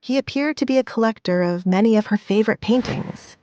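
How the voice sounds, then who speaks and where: A woman's voice: on the high side, brisk, dark tone, lo-fi sound, soft background music. A woman narrating a scripted audio drama as a storyteller.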